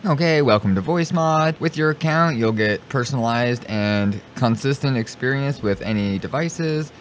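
A man's voice: mid-range voice, normal speed. Man giving a casual tutorial, noisy recording. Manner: informal, repeats himself while narrating his clicks. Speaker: streamer giving a software tutorial. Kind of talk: casual step-by-step screen tutorial. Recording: clearly noisy home recording.

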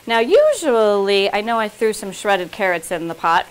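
A woman's voice: mid-range voice, very animated, moderate pace, clear, resonant tone, low-quality recording. A middle-aged woman hosting a cooking show in a home kitchen, chatting casually through each step with frequent ums and restarts.

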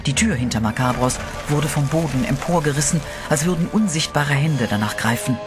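A man narrating a horror radio play in a steady, dramatic storytelling voice, with eerie music behind him. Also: a little high, brisk.

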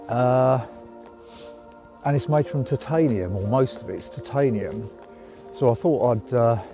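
An older man vlogging outdoors in a wood, chatting casually about his camping gear with plenty of ums and uhs. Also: mid-range voice. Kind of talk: casual walk-and-talk vlog. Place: outdoors in a woodland.

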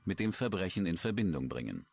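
Deep-voiced male true-crime narrator, lively intonation. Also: fast talker, creaky, heavy background noise. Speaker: documentary narrator.

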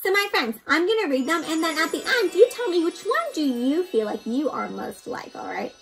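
A young woman with a high voice hosts an interactive storybook read-aloud for children. Her delivery swings widely in pitch and is very expressive. She keeps checking in with the listener through simple questions. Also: normal speed, creaky, faint music behind. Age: young adult. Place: indoor room.

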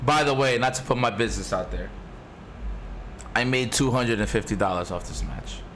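A man in his thirties with a raspy voice, hosting a stream and commenting excitedly over old fighting game footage. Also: mid-range voice, moderate pace, slight background noise. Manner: excited, swears a lot, repeats himself. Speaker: stream host.

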